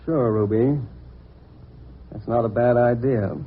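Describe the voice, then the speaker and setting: An actor playing a sardonic police inspector in an old-time radio drama, probing with needling, mock-polite questions. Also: male voice, mid-range voice, takes it slowly, a little hiss or hum. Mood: teasing, sardonic. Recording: dull lo-fi old radio recording.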